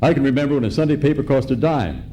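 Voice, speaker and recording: A man's voice: medium pitch, lively intonation, rapid-fire, dark tone, a little hiss or hum, close to an everyday voice. A man playing a pompous, blustery middle-aged man in an old-time radio comedy, live on stage. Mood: jovial. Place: live on stage in a large hall.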